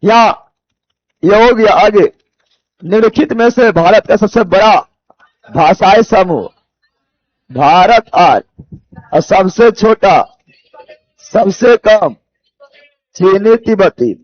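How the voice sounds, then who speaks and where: A man's voice: sits high, lively intonation, slow, long pauses, no echo, lo-fi sound. A man from Bihar with a Bihari accent teaching an exam coaching class, repeating key phrases and quizzing his students as he goes. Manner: repeats key phrases, asks students rhetorical check questions. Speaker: teacher for competitive exam general knowledge classes. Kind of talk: live coaching lecture, drilling by repetition.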